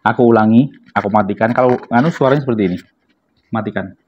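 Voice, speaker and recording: A man's voice: fairly low pitch, fast, a little hiss or hum. A man speaks in a dull, lo-fi recording, as in a homemade review video. He talks informally to his viewers, explaining things step by step in a casual unboxing style. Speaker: product reviewer, vlogger. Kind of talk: casual unboxing and product demonstration.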